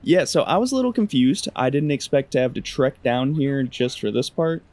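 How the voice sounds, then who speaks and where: A man's voice: mid-range voice, animated, normal speed, darkish tone. A man casually narrating his video game playthrough in a joking, slangy, conversational way. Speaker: gaming video creator.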